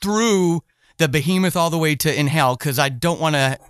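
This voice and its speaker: A man with a slightly high voice, recorded close and dry. He hosts a casual podcast interview and speaks haltingly, reworking his questions as he talks. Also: fairly bright tone, clean tone, no room sound. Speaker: podcast host interviewing a writer.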